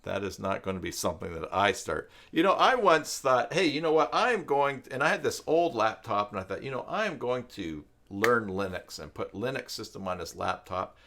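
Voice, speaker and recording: A man's voice: mid-range voice, big pitch swings, normal speed, few pauses, a bit bright. A middle-aged man hosting a casual live stream, rambling and thinking aloud as he reads and chats with viewers. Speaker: live stream host, self-employed web tutor.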